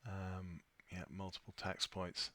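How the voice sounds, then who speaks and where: A man presenting an unscripted software tutorial, thinking aloud with a hesitant delivery as he walks through his screen. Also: deep voice, completely flat delivery, slow. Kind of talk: unscripted screen-share walkthrough.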